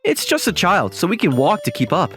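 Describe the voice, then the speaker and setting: A man voicing an awkward, kind, earnest young man in a manga dub, with expressive delivery. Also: sits high, brisk, soft background music, full character voice. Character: awkward, kind young man self-conscious about his looks. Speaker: voice actor. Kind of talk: manga dub dialogue and narration.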